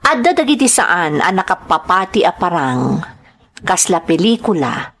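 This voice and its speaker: A woman's voice: fairly low pitch, sing-song, moderate pace, fairly bright tone. A woman reading a scripted personal letter as the narrator of a dramatized story programme.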